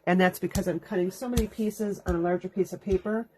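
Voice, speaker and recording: A woman's voice: on the low side, fairly even intonation, fast talker, dark tone, a little hiss or hum. A woman hosting a live card-making demonstration from her craft room, stuffy and sniffling from allergies, chatting through each step as she works. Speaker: crafting host. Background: dog dragging its bed around.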